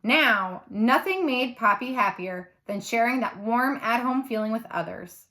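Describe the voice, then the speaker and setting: A woman narrating a children's novel aloud like a teacher, with expressive storytelling. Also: on the high side, unhurried.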